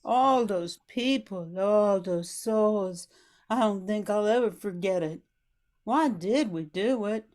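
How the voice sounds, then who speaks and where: A woman plays a grateful, grieving bayou woman in a radio drama. Her pace is very slow at a middling pitch. She sounds solemn and reflective. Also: a little mellow, close to an everyday voice. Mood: solemn, mournful. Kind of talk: amateur radio drama acting.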